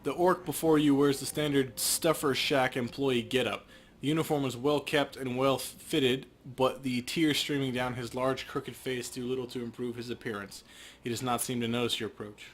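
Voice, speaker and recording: A man's voice: mid-range voice, fairly even intonation, moderate pace, a little hiss or hum. A man co-hosting a let's play with casual, wisecracking commentary and some reading aloud. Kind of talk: casual joking game commentary.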